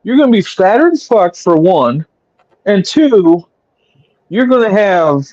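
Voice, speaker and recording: Higher-pitched man, halting and crude. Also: sing-song, darkish tone, no room sound, lo-fi sound. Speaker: guest on a talk podcast. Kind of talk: casual chat.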